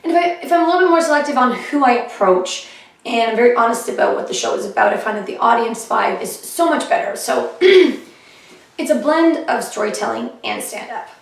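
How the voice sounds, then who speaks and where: A woman comedian chatting casually in a vlog about her shows, her voice a little hoarse and often clearing her throat. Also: fairly high pitch, expressive, a little fast. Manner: chatty and upbeat, with fillers. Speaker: comedian vlogging her diary. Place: living room of a flat, indoors. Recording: echoey room, heard from a distance.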